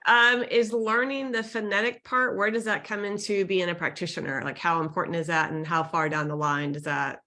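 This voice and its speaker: A woman's voice: lowish voice, fairly even intonation, moderate pace, hardly any pauses, bright, forceful tone. A woman on a video call asking follow-up questions about training. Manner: inquisitive, asks follow-up questions. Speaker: webinar participant.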